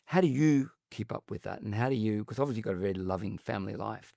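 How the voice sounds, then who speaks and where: A male podcast host asks questions in a measured, thoughtful way. His voice is low and gravelly, full of vocal fry. The sound is dry and close to the mic. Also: warm tone, no echo. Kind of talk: interview questions.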